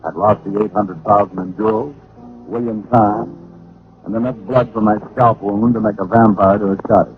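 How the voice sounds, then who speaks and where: An actor playing a wry, deadpan hard-boiled private detective in a 1940s-style radio drama. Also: male voice, on the low side, normal speed, soft background music, natural voice. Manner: deadpan, wisecracking. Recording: noisy, tinny old radio recording.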